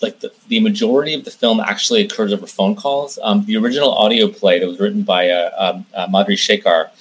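Man, low husky voice, interview guest, explanatory, hesitant phrasing with repeats. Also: fast, poor audio. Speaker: interview guest, film director and producer. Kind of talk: conversational interview answers.

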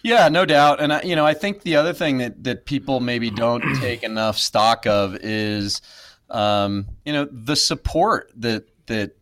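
A man's voice: medium pitch, unhurried, a bit bright, no echo. Reflective man in his thirties, dry sound. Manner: reflective, many fillers and restarts. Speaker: guest. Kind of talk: casual interview.

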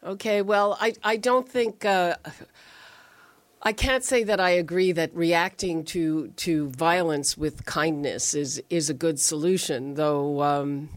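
Low-pitched woman hosting talk radio. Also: steady tone, slow, no echo. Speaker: radio call-in host.

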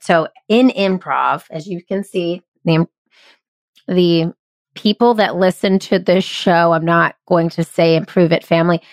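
Bubbly woman hosting, low-pitched and close. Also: no room sound. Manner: bubbly, encouraging, playful. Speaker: podcast host. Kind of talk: casual podcast interview.

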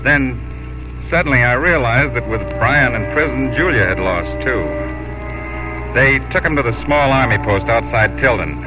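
A man's voice: mid-range voice, normal speed, lightly acted. An actor playing a steadfast, lovelorn young Texan rancher who narrates his story in a 1950s radio drama. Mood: brooding. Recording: muffled old 1950s radio, music behind.

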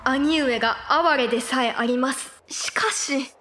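A woman's voice: high-pitched, measured pace, pushed and bright, slight background noise. A woman playing an anguished, principled noblewoman in a Japanese period voice drama, speaking formally and earnestly. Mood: sorrowful, dismayed. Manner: formal, polite, earnest pleading. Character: anguished, principled samurai noblewoman, warlord's younger sister.

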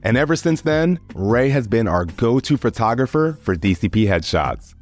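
A man's voice: medium pitch, very animated, normal speed, a little mellow. A man hosting an interview, introducing his guest and asking long, friendly questions filled with "like" and "you know.". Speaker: interview host. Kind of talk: podcast interview.

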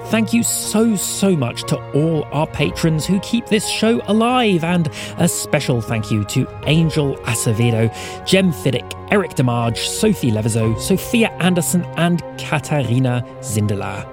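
A male announcer reads scripted podcast outros and credits. His fairly high voice moves around a lot in pitch, over clearly heard music. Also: expressive, moderate pace. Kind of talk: scripted narration.

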